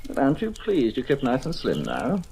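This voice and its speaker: An actress playing a cool, scheming crime boss in an old radio mystery, with a suave, condescending delivery. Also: female voice, extremely low pitch, huge pitch swings, brisk, warm tone, exaggerated voice. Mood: smug, briskly instructing. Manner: suave, articulate, condescending, menacing. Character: cool, scheming, commanding criminal ringleader plotting a theft. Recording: noisy old radio recording.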